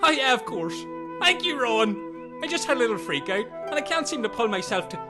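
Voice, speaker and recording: Shrill, melodramatic wailing castaway. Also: male voice, sing-song, brisk, over music. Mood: sheepish. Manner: hammy, wailing. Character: melodramatic, traumatised castaway bloke wailing over shameful memories. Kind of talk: comedy audio drama acting.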